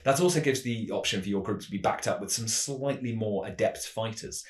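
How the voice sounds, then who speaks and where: Deep-voiced man in an echoey room. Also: brisk. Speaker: tabletop roleplaying game channel host. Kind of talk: semi-scripted explanatory narration. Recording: roomy, echoing voice recording.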